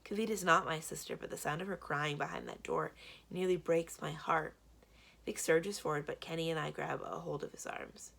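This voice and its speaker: A woman reading a children's book aloud as expressive scripted narration. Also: low voice, moderate pace, vocal fry. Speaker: library read-aloud reader.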